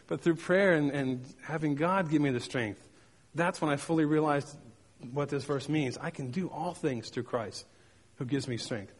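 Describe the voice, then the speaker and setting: A man's voice: fairly high pitch, breathy edge. Man preaching in an echoing hall. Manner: storytelling with sound effects, emphatic repetition. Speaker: pastor. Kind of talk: sermon with personal stories.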